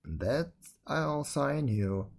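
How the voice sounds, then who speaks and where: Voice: medium pitch, sing-song, takes it slowly, husky, full character voice. A reader voicing a shrewd holy man in a prison who speaks solemnly in old-fashioned words.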